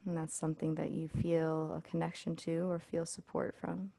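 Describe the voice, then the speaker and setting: A woman leading a guided body scan meditation as an instructor, speaking in a soothing, unhurried way with pauses. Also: low-pitched, little pitch movement, vocal fry, warm tone, dry recording.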